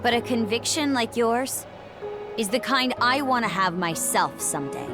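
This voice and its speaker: High-voiced, proud young warrior. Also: female voice, expressive, normal speed. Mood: earnest. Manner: earnest, defiant, tough. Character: proud, blunt, determined young female warrior. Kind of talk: dramatic video game voice acting. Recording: game music under the voice.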